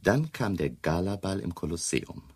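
An actor playing a worried, insistent English gentleman in a German radio crime drama, formal, earnest and husky. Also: sits low, very animated, moderate pace, full character voice. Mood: agitated, insistent.

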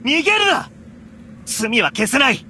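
A stern, proud young knight in an anime game. His very high male voice is bright and forceful, swinging widely as he declaims with hot-blooded urgency. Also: very animated, unhurried, a little hiss or hum, exaggerated voice. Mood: stern exhortation. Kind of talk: Japanese anime game voice acting.

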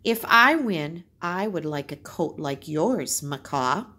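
Woman as a husky, modest storybook beetle. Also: deep voice, expressive, takes it slowly, pushed and bright, exaggerated voice. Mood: quietly confident. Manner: plain, unboastful, short statements. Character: slow, modest, quietly confident beetle.

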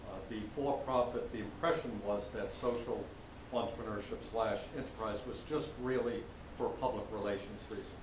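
Lowish guy talking from his table, far from mic, echoey room. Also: steady tone, moderate pace. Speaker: attendee reporting for his table. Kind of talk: casual discussion. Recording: distant, roomy, noisy.

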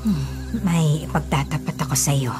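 Casting: actress as low-voiced, menacing old granny aswang, slow and ominous, radio drama over prominent music. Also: female voice, steady tone, close to an everyday voice. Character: sinister old grandmother who is secretly a shapeshifting aswang. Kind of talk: Filipino radio drama acting. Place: small radio studio. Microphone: studio condenser microphone. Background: dramatic music bed.

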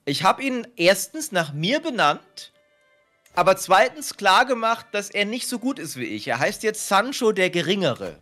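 A man with a fairly high, very lively voice chats over a game. He talks in a wry, playfully grandiose way, with music faintly behind him. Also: normal speed. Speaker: gaming streamer, co-player. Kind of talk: casual joking gameplay chat.

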